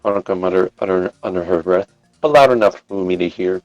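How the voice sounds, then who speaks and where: A man's voice: low voice, fast, darkish tone, no room sound, highs cut off, faint music behind. A man reading a story aloud as live narrator, sometimes stumbling and rereading phrases.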